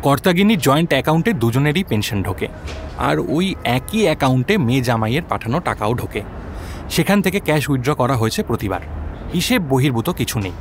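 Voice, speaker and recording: A man's voice: mid-range voice, sing-song, quick pace, slight background noise, natural voice. A man acting a deferential, methodical junior police officer in an audio drama, reporting point by point. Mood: dutiful reporting.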